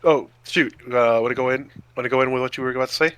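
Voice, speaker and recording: A man's voice: mid-range voice, steady tone, quick pace, fairly bright tone, clean tone. A man co-hosting a podcast in casual chat, playful and given to short exclamations. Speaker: podcast co-host.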